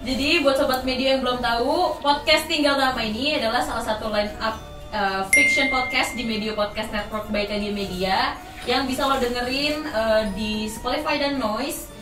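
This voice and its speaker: A woman's voice: fairly high pitch, moderate pace. A woman hosting a podcast, giving a casual introduction to listeners. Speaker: podcast host. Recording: echoey, distant mic, music behind.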